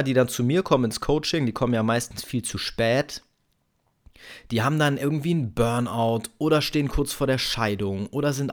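Direkter Coach, Mann in seinen Dreißigern. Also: male voice, mid-range voice. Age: 30s. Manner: candid, direct, addresses the listener as du. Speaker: relationship coach. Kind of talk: casual monologue to camera.

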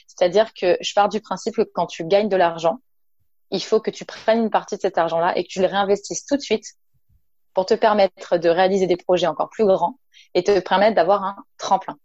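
A woman's voice: mid-range voice, fairly even intonation, brisk, a little mellow, no room sound, dull audio. A woman from Nice, a naturopath and entrepreneur, talking casually in an interview with frequent hesitations and an informal tone. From Nice, south of France. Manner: chatty, many fillers, addresses listener informally.

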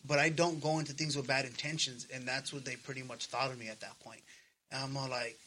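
A man's voice: mid-range voice, steady tone, a little fast, pushed and bright, slight background noise, rough recording. A man in his thirties chatting casually as a podcast co-host, earnest and slangy, swearing freely. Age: 30s. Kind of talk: casual personal chat.

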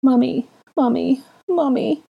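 Lady doing a scared tiny boy for a storybook, high whiny sobby voice, bit husky. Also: very animated, slow pace, lo-fi sound, full character voice. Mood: tearful chanting. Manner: whining, hiccuping, stammering. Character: frightened sobbing toddler boy, pitiful and pleading.

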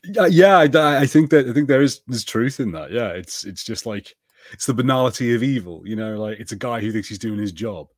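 A man's voice: medium pitch, brisk, dry recording, studio quality. A man co-hosting a casual podcast, talking in long rambling turns full of "like". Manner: rambling, many "like" fillers, animated. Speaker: podcast co-host. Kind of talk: casual chat.

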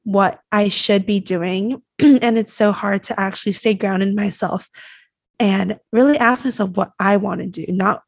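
A woman's voice: medium pitch, fairly even intonation, unhurried, gravelly, no echo. Young woman from Taiwan, reflective host. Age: early 20s. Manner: warm, appreciative, reflective, uses many fillers. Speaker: host, college student. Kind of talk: conversational interview.

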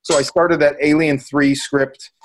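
A man's voice: fairly high pitch, flat delivery, unhurried, a little hiss or hum. A man chatting casually as a podcast host, informal and opinionated in the way he talks. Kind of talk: casual chat.

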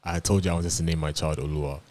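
A man's voice: a bass voice, fairly even intonation, quick pace, darkish tone, a little hiss or hum. A man co-hosting a podcast, from Nigeria, chatting casually and joking, swearing loosely as he talks. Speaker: podcast co-host. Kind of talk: casual chat.

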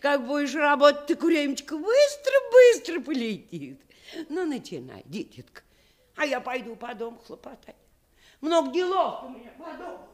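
Radio play role: fussing, affectionate old peasant granny, rural Russian dialect, high, very animated delivery. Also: female voice, moderate pace. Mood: fond, soothing. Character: fond, bustling, coaxing old village grandmother.

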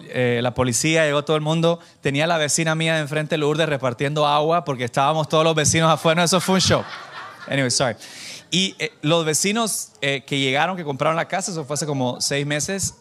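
A man preaching informally to a church congregation, animated and self-deprecating, bantering with listeners and dropping in English phrases. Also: a little high. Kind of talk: informal conversational sermon with anecdotes.

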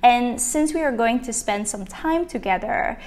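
A woman's voice: on the high side, expressive, measured pace. Chatty woman hosting a podcast. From Belgium. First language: Dutch. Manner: warm, chatty and encouraging. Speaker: podcast host, business coach. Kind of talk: solo podcast monologue.

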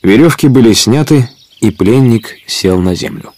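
A man's voice: mid-range voice, expressive, moderate pace, a little mellow. A man narrating an adventure audio drama in a scripted storytelling style.

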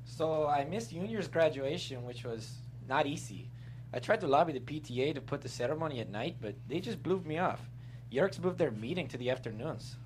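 A man's voice: on the high side, expressive, fairly quick, darkish tone, natural voice, rough recording. A man playing an overachieving vampire in a comedy table read, theatrical and emphatic. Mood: aggrieved. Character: overachieving, theatrical male vampire at a support group. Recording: faint background noise.

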